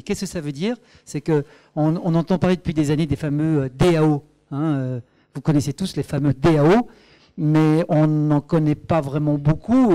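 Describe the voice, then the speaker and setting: A man's voice: fairly high pitch, warm tone. A man from Brussels with a Belgian French accent, presenting his startup at a meetup, hesitant with many fillers and then emphatic. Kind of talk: conference presentation.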